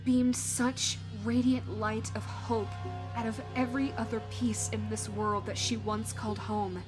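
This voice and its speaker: A woman giving solemn, deliberate dramatic narration of a fantasy story, with weighty pauses. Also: a little high, flat delivery. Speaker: narrator and voice actor. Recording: prominent music under the voice. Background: music.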